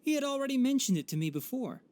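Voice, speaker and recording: A man voicing a calm, clever young forest ranger mentor in a video game, measured and articulate in delivery. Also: extremely high pitch. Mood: matter-of-fact. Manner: measured, articulate, matter-of-fact. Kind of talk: animated video game dub.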